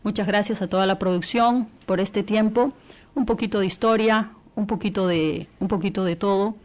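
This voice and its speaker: A woman's voice: medium pitch, steady tone, moderate pace, darkish tone. A woman from Peru, a church radio coordinator, giving an earnest interview testimony with many restarts and fillers.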